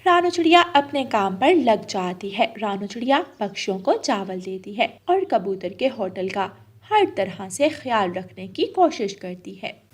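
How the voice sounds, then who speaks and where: A woman's voice: mid-range voice, sing-song, a little slow, slightly rough. A woman reading scripted narration for a children's cartoon story.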